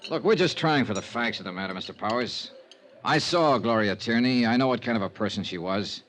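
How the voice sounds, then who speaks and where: A man's voice: medium pitch, brisk, fairly bright tone, close to an everyday voice. A man playing a tough, wry insurance investigator in 1950s radio style, clipped, dry and sardonic. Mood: patient, probing. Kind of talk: 1950s radio detective acting. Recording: noisy vintage radio recording.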